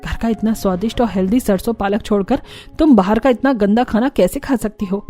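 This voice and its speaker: A woman's voice: mid-range voice, fairly quick, mellow, soft background music, close to an everyday voice. A woman voicing a strict, suspicious middle-aged mother-in-law in a cartoon family story, questioning and scolding. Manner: scolding, interrogating.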